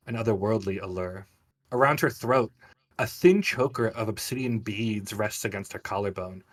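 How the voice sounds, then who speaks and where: A man narrating a tabletop fantasy game as game master, describing scenes for his players. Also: lowish voice.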